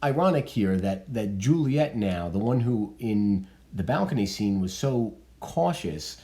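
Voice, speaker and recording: A male teacher talks through a literature lesson in a relaxed, explanatory way. His voice is deep and mellow. He pauses often with ums and restarts his sentences. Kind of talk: spoken literature lesson with commentary.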